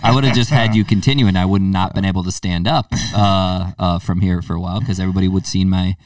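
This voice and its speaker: A man's voice: deep voice, steady tone, fast talker, no echo, recorded from a distance. A young man co-hosting a casual podcast, joking and putting himself down, laughing often. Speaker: podcast co-host. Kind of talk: casual chat.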